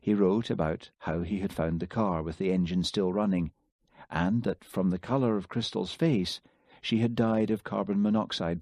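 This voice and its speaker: Man, audiobook narrator, lowish voice, raises pitch for other characters, dry close sound. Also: warm tone, no room sound. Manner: reads the dialogue, lifting his pitch for the other characters. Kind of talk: scripted narration.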